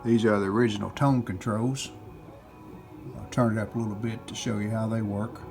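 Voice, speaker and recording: A man's voice: on the low side, steady tone, normal speed, creaky, music in the background. A man talking casually through a hands-on restoration in a plain, matter-of-fact way, in short clipped sentences. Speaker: host of a vintage radio repair video. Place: indoors at home.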